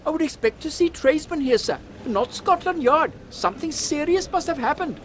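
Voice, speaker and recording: Woman as a very high-voiced, deferential male assistant. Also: lively intonation, fairly quick, a little hiss or hum. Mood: earnest, deferential. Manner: formal, deferential, flowery. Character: earnest, polite male right-hand man to a captain. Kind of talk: comic Victorian audio drama acting.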